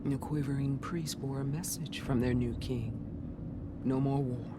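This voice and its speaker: A slow, menacing rebel warrior leader. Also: female voice, rumbling low, steady tone, noisy background. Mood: scornful. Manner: menacing, deliberate, bitter storytelling. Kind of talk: dramatic video game acting. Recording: loud cinematic music behind. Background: game soundtrack music.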